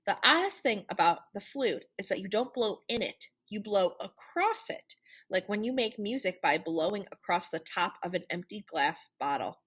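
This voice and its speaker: A woman reading a children's picture book aloud in an animated, theatrical storytelling manner. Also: medium pitch, normal speed, breathy edge. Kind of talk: expressive children's read-aloud narration.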